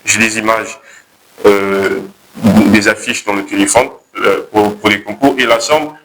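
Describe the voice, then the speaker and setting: A young man with a Congolese accent pitching his project to a jury, hesitating and correcting himself as he goes. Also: measured pace, a bit bright, poor audio. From Republic of the Congo. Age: young adult. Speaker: contest candidate pitching a project.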